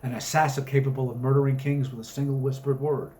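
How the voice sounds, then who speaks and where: A man's voice: mid-range voice, level tone, quick pace, mellow, a little hiss or hum. A man reading his own short story aloud with a dramatic, literary narrating delivery.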